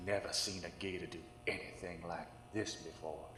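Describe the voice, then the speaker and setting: A man playing a gruff, skeptical small-town sheriff in an audio horror drama, talking in a folksy, sarcastic, mocking way. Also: low-pitched, steady tone, normal speed, sharp tone, big-room sound, slight background noise. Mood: grim.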